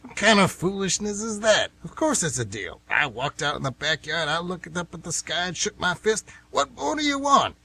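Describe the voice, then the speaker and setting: A man's voice: sits high, sing-song, moderate pace, gravelly, hardly any pauses, bright, forceful tone, slightly rough, exaggerated voice. An actor with an American accent playing an indignant, stubborn older man, folksy and emphatic, in a radio play. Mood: defensive. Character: stubborn, indignant old male former baseball fan.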